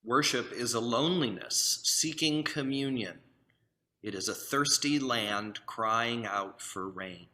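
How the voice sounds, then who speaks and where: A man's voice: medium pitch, slow pace. A man leading an online worship service as minister, chatting lightly before moving into a solemn responsive reading over a video call. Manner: relaxed, joking asides before solemn reading.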